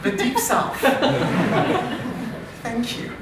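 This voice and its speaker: Man, French accent, dry self-deprecating stage host, slow, far-off mic, very echoey venue. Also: extremely high pitch, sing-song, very noisy. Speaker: AI researcher and improv performer. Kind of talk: live comedy stage talk. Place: live comedy meetup venue. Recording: distant, very echoey live venue.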